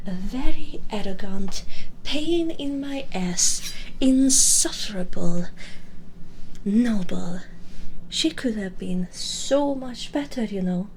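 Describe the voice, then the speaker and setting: A woman voicing a menacing, vengeful female vampire in an intimate ASMR roleplay, breathy and whispery, taunting and condescending with deliberate phrasing, close to the microphone. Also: mid-range voice, darkish tone, a little hiss or hum, low-quality recording. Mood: bitter, gloating. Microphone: close binaural microphone.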